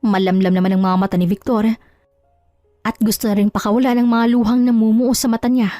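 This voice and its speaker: A woman's voice: on the high side, flat delivery, fairly quick, warm tone, no echo, clean studio sound, faint music behind. A woman storyteller reading scripted narration for a Tagalog love drama.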